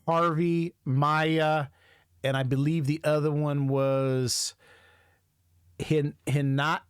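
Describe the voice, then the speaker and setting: Rambling man, slightly high-pitched voice. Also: clear, resonant tone, no echo, clean studio sound. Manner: hesitant, rambling, thinking aloud. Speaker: music video reaction host. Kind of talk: casual reaction commentary.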